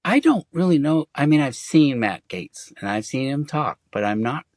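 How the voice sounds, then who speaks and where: A middle-aged man raised in Germany, answering interview questions in a halting, hesitant way with many fillers. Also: mid-range voice, expressive, a little mellow, no room sound, clean studio sound. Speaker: interview guest. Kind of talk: casual conversation.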